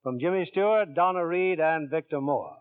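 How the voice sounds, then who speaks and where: A man's voice: on the high side, normal speed, smooth. A man hosting a 1940s radio show with polished, scripted announcer delivery. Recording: tinny 1940s radio recording.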